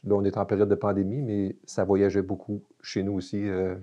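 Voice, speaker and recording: A man's voice: fairly low pitch, monotone, fairly quick, dark tone, lo-fi sound. A man in his late fifties with a Québécois French accent, a guest in a casual interview, talking with many fillers and restarts. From Quebec.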